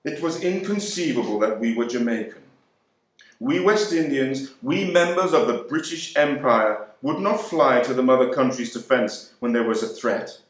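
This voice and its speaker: A man performing a dramatic literary monologue in a measured, deliberate, emphatic way. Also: mid-range voice, animated. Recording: very echoey room.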